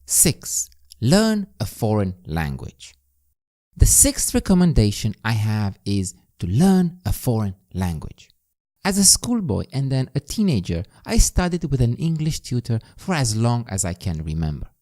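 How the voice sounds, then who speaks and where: Animated Romanian-accented man hosting a podcast. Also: medium pitch, measured pace. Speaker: podcast host. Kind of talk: scripted self-improvement monologue.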